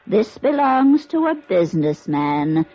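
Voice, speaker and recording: An actress playing a grandiose middle-aged spirit medium, intoning in a drawn-out trance manner in an old radio drama. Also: female voice, medium pitch, very animated, dark tone. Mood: trance-like, mysterious. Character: theatrical middle-aged woman spirit medium holding a séance. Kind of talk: 1950s radio drama acting. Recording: dull vintage radio recording, faint music.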